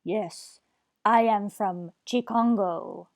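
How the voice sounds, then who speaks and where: A woman playing a sham exotic jungle goddess in an old-time radio comedy, speaking in stilted, deadpan broken sentences. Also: mid-range voice, slow, no room sound, clean studio sound. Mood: solemn deadpan. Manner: deadpan, stilted, drops articles. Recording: slightly dull, muffled sound.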